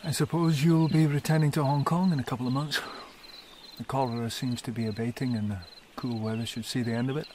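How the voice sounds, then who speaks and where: A man plays a cynical, worldly colonial gentleman in a radio play. His mid-pitched voice is wry. Outdoor ambient noise with insects is audible behind him. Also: fairly even intonation, quick pace, natural voice. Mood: teasing, wry. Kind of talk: radio drama acting.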